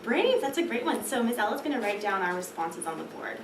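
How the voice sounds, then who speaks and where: A woman's voice: on the high side, big pitch swings, fairly quick. Woman teaching, echoey distant recording. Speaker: trainee teacher rehearsing a read-aloud. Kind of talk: classroom read-aloud introduction. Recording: echoey, distant mic, clear background noise.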